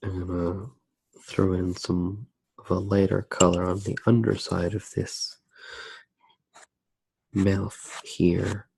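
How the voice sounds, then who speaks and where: A soft-spoken man narrating a drawing step by step in a hushed, unhurried ASMR style. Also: low-pitched, little pitch movement, creaky, husky, no room sound, rough recording.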